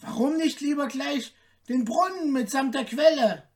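A man voicing a small, boastful, cheeky tailor in a fairy tale, with a high, light, cocky voice. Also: measured pace, distant mic.

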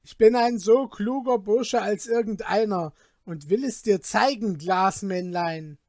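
A reader voicing a reckless young man, newly rich and boastful, talking loudly and in agitation. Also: medium pitch, takes it slowly, no echo, studio quality, lightly acted.

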